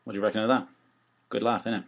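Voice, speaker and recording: A man's voice: lowish voice, steady tone, very fast, gravelly. A man giving a chatty, self-deprecating appeal on behalf of a small theatre company. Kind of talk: informal spoken appeal.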